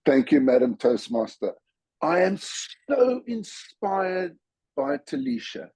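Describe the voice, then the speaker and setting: Slow, husky man with a lively pitch. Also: no room sound. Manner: enthusiastic, admiring. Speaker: speech evaluator. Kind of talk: meeting remarks.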